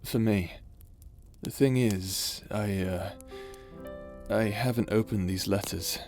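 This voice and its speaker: A man playing a tender, weary space pirate in an intimate ASMR roleplay, speaking softly and hesitantly over music. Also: fairly low pitch, slow pace. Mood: tender, uneasy. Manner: soft, hesitant, confiding.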